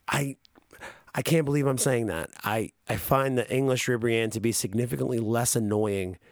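A man's voice: lowish voice, no echo, clean studio sound. Rambling, profane man on a podcast. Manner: rambling, profane, frequent fillers. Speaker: podcast co-host. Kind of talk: casual podcast commentary.

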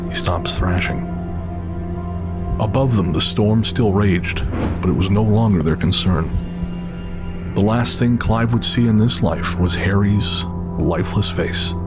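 A man narrating a scripted audio drama in a dramatic storytelling style. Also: lowish voice. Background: loud music with rain and thunder.

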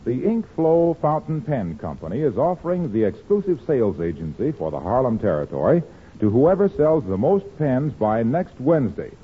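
A man's voice: medium pitch, sing-song, normal speed, breathy edge. A male announcer reading 1940s radio ads and scripted introductions in a polished, emphatic salesman's delivery. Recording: muffled scratchy old-time radio recording.

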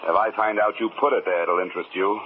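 A man's voice: fairly low pitch, fairly even intonation, quick pace, close to an everyday voice. Male actor as hard-boiled investigator, American, sardonic, 1950s radio drama, noisy narrowband recording. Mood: angry, threatening. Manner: sardonic, tough-talking. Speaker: lead actor and narrator in a radio detective drama.

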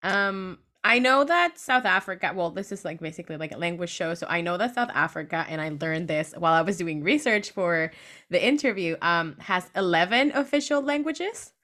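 A woman's voice: lowish voice, animated, moderate pace, sharp tone. A young woman hosting a podcast interview, asking questions with many "like"s and "um"s and giggling often. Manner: giggly, lots of "like" and "um". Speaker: podcast host. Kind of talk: casual interview.

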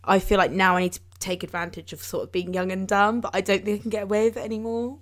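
A woman's voice: mid-range voice, level tone, quick pace. A woman in her twenties, co-hosting a podcast and talking casually about feelings and growing up, with lots of fillers and laughs. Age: 20s. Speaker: podcast co-host. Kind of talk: casual chat.

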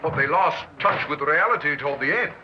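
A man's voice: a little high, lively intonation, a little fast, close to an everyday voice. An actor playing a doctor's earnest, questioning male assistant in a 1960s radio drama. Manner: earnest, inquiring. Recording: muffled, noisy old radio recording.

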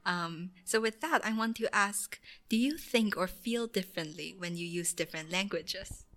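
A woman's voice: lowish voice, normal speed, fairly bright tone. Upbeat woman podcast host. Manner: upbeat, asks questions. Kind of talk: hosting a casual panel discussion.

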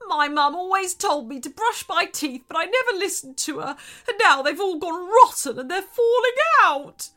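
A woman's voice: a little high, sing-song, slow pace, sharp tone, heavily acted. Woman acting a theatrical, growly big male shark. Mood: wailing, ashamed. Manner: theatrical, exclaiming.

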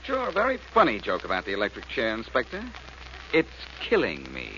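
An actor playing a cool, wisecracking tough detective in a 1940s radio drama, wry and commanding. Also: male voice, fairly low pitch, huge pitch swings, fairly quick. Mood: wry confrontation. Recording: muffled, noisy old radio recording.

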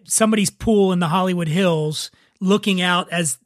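A man's voice: high-pitched, normal speed, dry recording, studio quality. A man hosting a podcast, chatting informally and conversationally with his guests. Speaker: podcast host. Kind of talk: casual podcast chat.

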